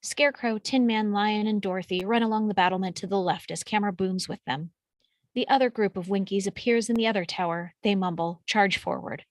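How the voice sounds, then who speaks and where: Woman narrator, medium pitch, scripted stage directions, close dry recording. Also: a little fast, dry recording.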